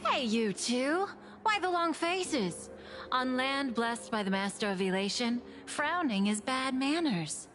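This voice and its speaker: A woman's voice: sits high, lively intonation, takes it slowly, a bit bright, a little hiss or hum, heavily acted. A woman playing a pushy, relentlessly cheerful cult recruiter in a video game, with chirpy, theatrical patter over music. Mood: gleeful, coaxing.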